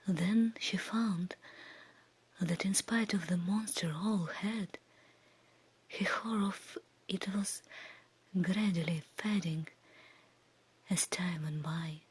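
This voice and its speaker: A woman reading a fairy tale as ASMR in a soft, breathy, soothing whisper. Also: fairly low pitch. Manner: slow, hushed, soothing delivery. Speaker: ASMR storyteller.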